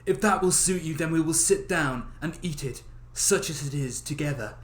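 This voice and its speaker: A man's voice: on the high side, moderate pace, a little hiss or hum, natural voice. A man voicing a simple, good-hearted youngest son from a fairy tale, plain and earnest. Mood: earnest, generous. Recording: roomy echo of a small room.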